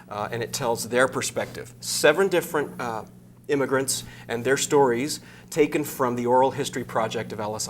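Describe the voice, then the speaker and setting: A man speaking as an interview guest and arts director, explaining things in measured phrases. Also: medium pitch. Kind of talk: interview talk about a concert program. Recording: clear background noise with hum.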